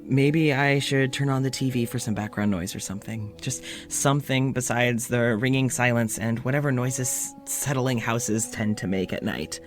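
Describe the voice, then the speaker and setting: Casting: an actor playing a frayed, exhausted doctor in a horror audio drama, slow, faltering, pleading. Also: male voice, medium pitch, natural voice. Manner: halting, weary, pleading, breaks into shouting. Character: exhausted, anxious male doctor keeping an audio journal.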